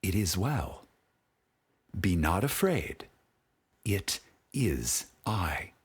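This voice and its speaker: A man with a fairly low, husky voice reads a spiritual audiobook. His delivery is solemn and dramatic, with wide rises and falls in pitch. He takes his time, setting phrases apart with long pauses. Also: sing-song. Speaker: audiobook narrator.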